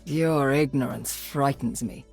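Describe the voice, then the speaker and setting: A woman playing a cold, arrogant Irish geneticist villain in a video game, speaking in a measured, haughty manner. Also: very deep voice, darkish tone, soft background music. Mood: cool disdain. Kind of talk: video game character voice acting.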